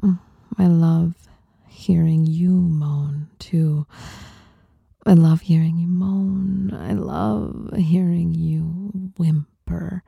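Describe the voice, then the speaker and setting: A woman performing an intimate ASMR monologue in a breathy whisper, seductive, teasing and softly dominant, close to the microphone. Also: on the low side, fairly even intonation, drawn-out words, no room sound, narrow, tinny audio. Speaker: performer of adult audio recordings.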